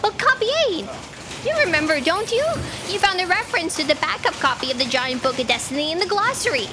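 A woman's voice: very high voice, lively intonation, fast, noisy background. A young woman playing an earnest, insistent junior underling in a staged audio drama. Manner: theatrical, insistent. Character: earnest, urgent, frank female junior underling. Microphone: stand microphone on a theatre stage.

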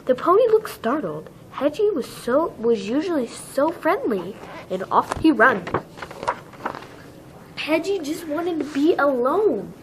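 A woman's voice: sits high, big pitch swings, slow pace, a little hiss or hum, rough recording. A woman narrating a children's storybook, reading it aloud.

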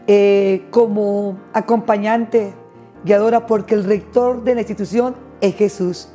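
A woman's voice: a little high, warm tone. A middle-aged woman with a Colombian Spanish accent, a nun who runs a school, speaking cheerfully and fervently to camera indoors. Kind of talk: testimony to camera. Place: indoor chapel room.

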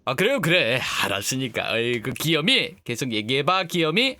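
A man's voice: medium pitch, very animated, moderate pace, pushed and bright. A man hosting a live game stream, playfully mixing casual chat with reading the story aloud. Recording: music playing behind the voice.

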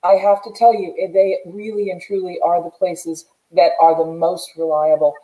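A woman's voice: fairly low pitch, level tone, moderate pace, mellow, rough recording. A middle-aged American woman hosting an interview over a video call in an earnest, emphatic way. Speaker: show host. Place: indoors, plain room.

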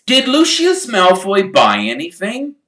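Man playing an excitable, kindly father. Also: fairly high pitch, takes it slowly, distant mic, voice pushed up, full character voice. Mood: grim. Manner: enthusiastic, indignant, excitable. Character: excitable, kindly middle-aged father, balding. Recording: echoey room.

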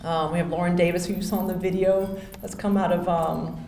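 A woman's voice: fairly low pitch, flat delivery, fairly quick, vocal fry, warm tone. A woman in her forties from Miami giving a hall of fame acceptance speech at a podium microphone, chatty and full of thank-yous and restarts. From Miami, Florida. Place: hotel ballroom, on stage at a podium. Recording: echoey ballroom with faint hum.

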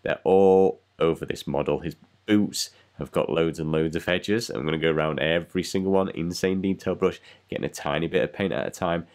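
A man's voice: deep voice, a little fast, darkish tone. A man with a cold, rambling casually as he talks through painting a miniature. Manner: rambling, self-deprecating, chatty. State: congested with a cold. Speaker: miniature painting channel host. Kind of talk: casual painting tutorial voice-over.

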